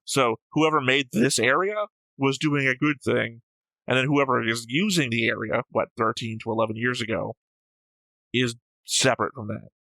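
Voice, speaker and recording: A man's voice: mid-range voice, moderate pace, vocal fry, pushed and bright, dry recording, rough recording. A man co-hosting a reaction show, talking casually and methodically as he explains and argues out his analysis.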